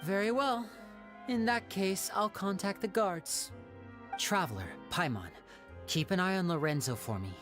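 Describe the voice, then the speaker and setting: A voice actor playing a calm, poised young magician in a game. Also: male voice, high-pitched, music in the background. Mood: composed. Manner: measured, composed.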